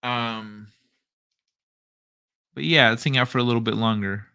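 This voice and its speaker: A man's voice: mid-range voice, fairly even intonation, creaky, bright, forceful tone, smooth. A man hosting a casual livestream and chatting loosely with viewers, joking and laughing often. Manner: loose and jokey, with frequent laughter and quick asides. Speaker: livestream host.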